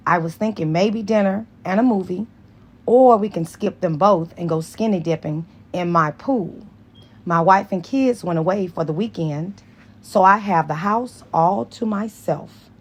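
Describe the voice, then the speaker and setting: A woman voicing a brash, flirtatious, quick-tempered married man in an audiobook, pushy and irritable. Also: lowish voice, slightly rough. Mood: casual, flirty. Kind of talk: dramatized audiobook dialogue.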